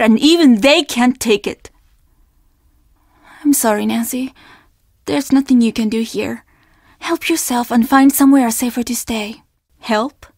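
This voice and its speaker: A young woman who runs a Japanese inn in a video game. Her voice sits high and is recorded very dry. She is earnest and formally polite, apologetic, and carries her troubles with her. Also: no room sound. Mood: despairing, bitter. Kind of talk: video game character dialogue.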